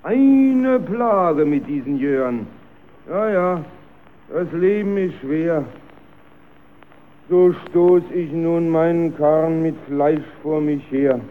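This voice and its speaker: A muffled old radio drama recording of a sad, devoted zookeeper. He declaims slowly and plaintively, in florid phrases. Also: a little high. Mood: mournful. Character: sorrowful, devoted male zookeeper mourning his lion. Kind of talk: old German radio play monologue.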